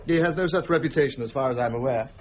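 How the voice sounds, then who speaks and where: An actor playing a concerned gentleman in a period radio drama, questioning in a measured, formal manner. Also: medium pitch, dull audio, slight background noise, lightly acted. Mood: probing. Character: concerned, probing gentleman colleague of Newton.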